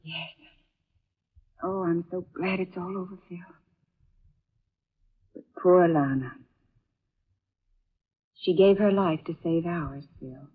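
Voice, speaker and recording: Earnest young sweetheart, breathy, tinny 1940s radio drama recording. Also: female voice, fairly low pitch, fairly even intonation, slow pace, long pauses. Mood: tender sorrow. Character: Judy, tender young woman, hero's sweetheart.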